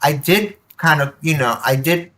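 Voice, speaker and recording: A woman guest on a podcast telling personal stories candidly, with an animated, emphatic manner and acted-out quotes. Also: low voice, normal speed. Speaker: podcast guest, nonprofit board member. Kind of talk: candid personal storytelling in conversation.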